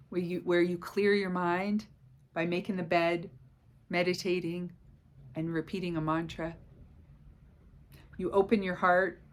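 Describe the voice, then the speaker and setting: Woman, forties, lower pitch, soothing and affirming, self-help talk, home living room, close camera mic. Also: a little hiss or hum. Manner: slow, soothing, affirming delivery. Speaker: wellness coach.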